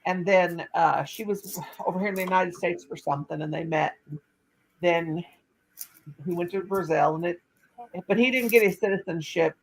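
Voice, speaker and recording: Older woman host, casual laptop chat, rambling storyteller, lowish voice. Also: moderate pace, rough recording. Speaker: channel host.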